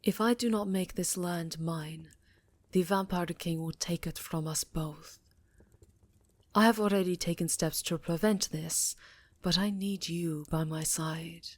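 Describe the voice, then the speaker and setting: A woman performs an imperious vampire countess in an ASMR roleplay. Her voice is fairly low and very dry, with no room around it. She speaks deliberately in a breathy half-whisper, possessive, seductive and faintly menacing. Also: fairly even intonation, a little mellow, dry recording. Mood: grave, urgent.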